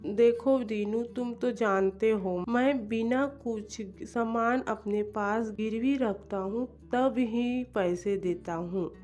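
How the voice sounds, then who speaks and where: A firm village moneylender, a man played by a woman in a cartoon story. The delivery is very slow and condescending, the tone dark, over faint plucked music. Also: fairly high pitch, steady tone, pitched below the performer's own voice. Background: plucked string music.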